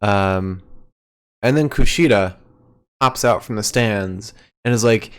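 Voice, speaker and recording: A man's voice: lowish voice, takes it slowly, smooth. A man co-hosting a wrestling podcast, recapping in a rambling, hesitant way with lots of fillers. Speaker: podcast co-host. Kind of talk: casual wrestling recap chat.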